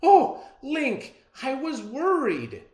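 A man's voice: extremely high pitch, wildly swooping pitch, very slow, a little mellow, pitched above the performer's own voice, exaggerated voice. A man voicing a practical, concerned farm mother in a storybook, firm and coaxing. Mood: relieved. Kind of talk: storybook character reading. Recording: roomy echo.

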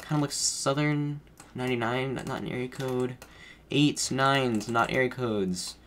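A man's voice: mid-range voice, steady tone, measured pace, a bit bright, a little hiss or hum. A man commentating his own game casually, rambling and correcting himself as he thinks aloud, his voice a bit off from feeling under the weather. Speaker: gaming streamer.

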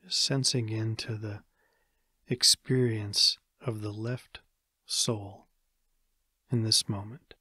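An American man guides a meditation in a calm, measured manner with long pauses. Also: on the low side, husky, no room sound, studio quality. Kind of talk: guided body scan meditation.

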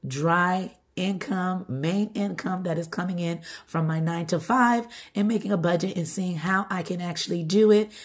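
A middle-aged woman with a groggy, hoarse voice from being sick, chatting casually as she hosts her home budgeting vlog. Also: on the low side, normal speed, hardly any pauses. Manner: chatty, repeats "all right" and "okay". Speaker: budgeting channel host. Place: home, table-top filming.

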